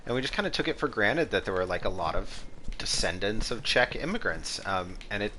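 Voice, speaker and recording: Male streamer, lowish voice, loose rambling delivery full of "like" and "um", steady hiss under the mic. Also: brisk. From Texas, USA. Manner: rambling, filler-heavy, thinks aloud. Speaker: Egyptologist streamer. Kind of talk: casual gaming commentary with history asides. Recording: steady background noise.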